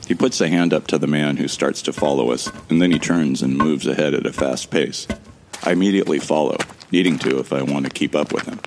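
Super deep gravelly guy narrating his own sci-fi story, wry and jokey. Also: brisk, slight background noise. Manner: wry, joking, casual with swearing. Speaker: narrator and protagonist. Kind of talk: first-person scripted drama narration.

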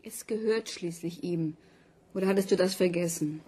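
A woman's voice: fairly low pitch, normal speed, warm tone, husky. An actress in a radio drama playing a firm young woman standing up for a friend. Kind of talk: German radio drama acting.

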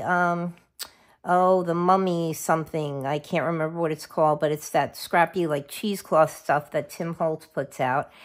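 A middle-aged woman with a scratchy voice from a cold, chatting casually while she shows a craft project. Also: mid-range voice. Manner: chatty, self-interrupting, forgets names of supplies. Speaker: craft video host.

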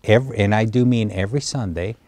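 Cuban accented guy, 50s, low voice, calm and reflective, lapel mic in an empty cinema. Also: no echo. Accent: Cuban Spanish. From Cuba. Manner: reflective, measured storytelling. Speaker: interviewee, business executive. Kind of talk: sit-down interview. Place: empty movie theater, indoors. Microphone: lapel mic, close framing.

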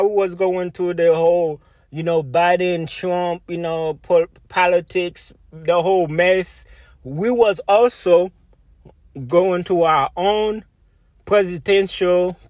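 A man's voice: high-pitched, steady tone, slow pace, dry recording, clean studio sound. A man from Haiti, a video blogger giving rambling, informal commentary and often repeating himself. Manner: rambling, repetitive, filler-heavy.

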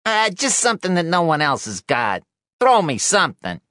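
A man's voice: on the high side, wildly swooping pitch, normal speed, sharp tone, no echo, studio quality, pitched above the performer's own voice, full character voice. A man reading an audiobook, voicing a pushy, scruffy little male journalist who needles and wheedles. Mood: wheedling.

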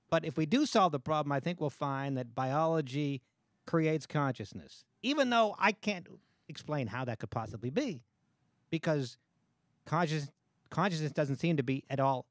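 A middle-aged comedian host with a higher voice that swings up and down a lot. He stumbles through restarts and jokes as he asks questions. His voice comes through dry on a close studio mic. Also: expressive, no room sound. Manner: halting, many restarts, playful jabs. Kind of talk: casual panel discussion. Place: small studio room with a table. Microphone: studio mic, headphones.

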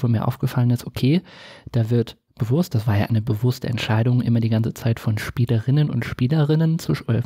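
A male podcast host with a mellow, slightly husky voice at a middle pitch. He talks casually and explains as he goes, recorded close and dry. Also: dry recording.

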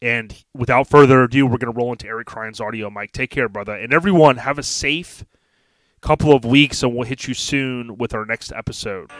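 A man hosting a casual podcast, talking in a relaxed, chatty, friendly way. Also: mid-range voice, a little fast, dry recording. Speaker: podcast host.

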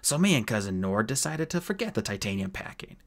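A man voicing a cheeky, animated dwarf convict. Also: lowish voice, brisk, vocal fry, exaggerated voice. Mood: unrepentant. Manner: slangy, flippant wheedling. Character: cheeky, sulky dwarf convict, male, unrepentant.

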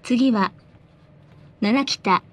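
A woman reading scripted bus announcements in a polite, formal, measured way. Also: on the high side, faint music behind.

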